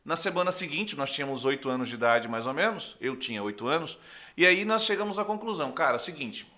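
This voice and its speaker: A young man from Recife speaks with a northeastern Brazilian accent and a sharp, somewhat raised voice. He is a pastor telling his story in a conversational way, playing out the dialogue as he goes. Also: on the high side, fast. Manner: animated storyteller, acts out dialogue, often checks with "né". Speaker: pastor, podcast guest. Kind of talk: conversational personal testimony.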